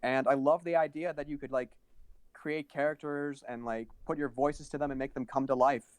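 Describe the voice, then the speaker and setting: A young moderator leads a casual panel, speaking briefly between guests and calling each by name. The sound is close and dry. The delivery is relaxed and conversational. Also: medium pitch, moderate pace, no room sound.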